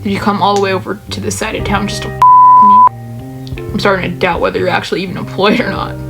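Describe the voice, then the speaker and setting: A woman's voice: medium pitch, huge pitch swings, fast talker, gravelly, over loud music. A woman voicing an irritable, curt young businessman in a story game. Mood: irritated.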